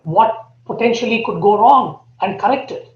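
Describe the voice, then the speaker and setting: A man with an Indian accent, a podcast guest laying out his points earnestly and deliberately. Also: sits high. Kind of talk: podcast interview. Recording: echoey, dull remote audio.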